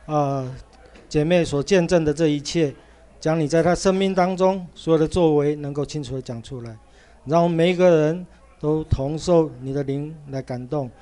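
A man's voice: a little high, normal speed, warm tone. A man leading a church service, praying fervently and then introducing the next speaker informally. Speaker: service leader.

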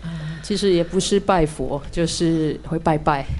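A woman's voice: sits low, a little mellow, a little hiss or hum. Young Taiwanese woman giving church testimony. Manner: measured, pausing for translation. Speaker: theology student giving testimony.

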